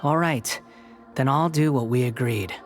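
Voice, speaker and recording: A man's voice: medium pitch, measured pace, soft background music, lightly acted. An actor voicing a smug young male villain in a video game, mocking and sardonic. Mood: curt. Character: smug young man, sardonic disgraced villain turned prisoner.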